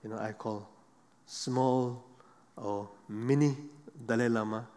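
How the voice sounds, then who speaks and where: A middle-aged man, a Buddhist monk on a stage panel, speaking halting non-native English with frequent pauses and fillers. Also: mid-range voice, fairly even intonation, a little mellow. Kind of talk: unscripted panel talk. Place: seated on a large theater stage. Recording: long hall reverb.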